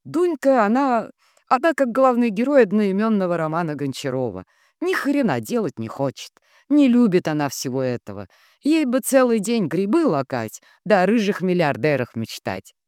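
A woman voicing a bossy, coarse female minder who is brisk, stern and cajoling. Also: medium pitch, big pitch swings, a little mellow, no echo, studio quality, pitched above the performer's own voice, close to an everyday voice. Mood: brisk, reassuring.

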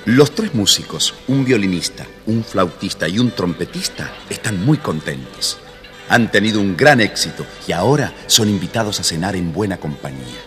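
A man's voice: deep voice, sing-song, normal speed, a bit bright. A man with an Argentine accent narrating a scripted children's story. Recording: long reverb, faint music behind.

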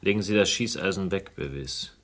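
An actor playing a wary, level-headed investigator in a radio crime drama, speaking in a controlled, dry, probing way. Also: male voice, deep voice, normal speed, a bit bright. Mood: firm, wary. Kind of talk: German radio crime drama acting, noir narration.

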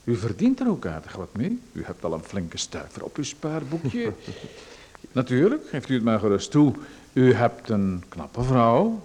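A man's voice: mid-range voice, wildly swooping pitch, unhurried, a little mellow, breathy edge, heavily acted. An actor in a radio play voicing a pushy, ingratiating man who presses his help on someone and hints at danger. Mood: insinuating. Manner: ingratiating, insistent, with a veiled threat.

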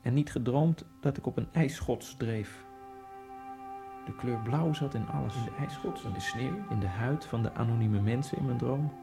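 A man's voice: medium pitch, moderate pace, vocal fry, over music, close to an everyday voice. An actor playing a lonely immigrant man obsessed with speed skating, narrating his thoughts in a wry, brooding radio-drama monologue. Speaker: first-person narrator of a radio play.

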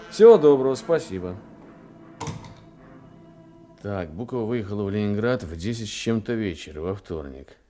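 An actor playing a brisk, businesslike Soviet police detective in a radio drama, with clipped, questioning, commanding delivery. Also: male voice, a little high, a little mellow. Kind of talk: Russian radio drama acting.

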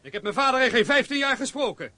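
A man's voice: very high-pitched, animated, quick pace, sharp tone, full character voice. A man playing a middle-aged mine owner's son, earnest, well-mannered and conciliatory, in a radio play. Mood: pleading, defensive.

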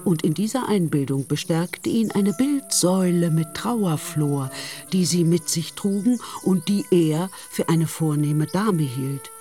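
An actor narrating a literary chivalric tale in a radio play, in a measured storytelling manner. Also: male voice, a little high, expressive, dark tone, faint music behind.

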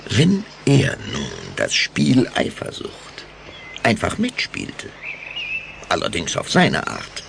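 A man's voice: low-pitched, huge pitch swings, takes it slowly, creaky, a bit bright, slight background noise. A man narrating a German children's radio play in a dramatic, scripted storytelling style.

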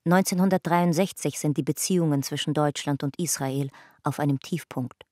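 An actress as a sober documentary narrator in a radio drama, giving measured, matter-of-fact scripted descriptions. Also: female voice, sits low, darkish tone, no echo, clean studio sound. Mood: sober, factual.

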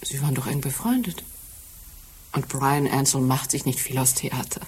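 An actress playing a shaken, loyal and discreet secretary in a German radio crime drama, speaking softly and guardedly. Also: female voice, a bass voice, moderate pace, a little hiss or hum. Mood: reserved, defensive.

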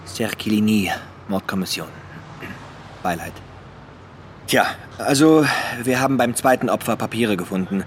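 An actor playing a businesslike homicide detective with a dry, matter-of-fact delivery in a crime radio drama. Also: male voice, on the low side, lively intonation, a little slow, pauses often. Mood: hesitant, businesslike. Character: businesslike male homicide detective reporting findings. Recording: faint ambient noise.

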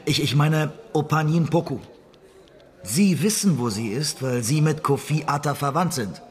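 An actor playing an earnest investigator who questions people in a probing, insistent way in a radio drama. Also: male voice, on the high side, lively intonation, moderate pace, lightly acted. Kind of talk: audio drama acting.

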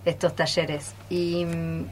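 An Argentine woman with a fairly low voice talks in an informal, expressive way, as in a group chat. It is a studio recording with some faint noise behind her. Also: slow pace. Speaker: radio theatre group member. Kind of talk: casual group interview chat. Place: radio studio.

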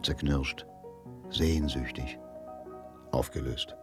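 A man narrating a radio drama in a measured, literary and dramatic manner. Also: extremely low pitch, fairly even intonation. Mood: grave, suspenseful. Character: grave literary narrator of a crime story. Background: music.

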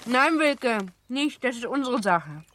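A woman acting a lively, playful, well-born girl of seventeen in a German radio play, chatty and teasing. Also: on the high side, takes it slowly, slight background noise, full character voice. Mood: mock solemn. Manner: chatty, teasing, self-assured.

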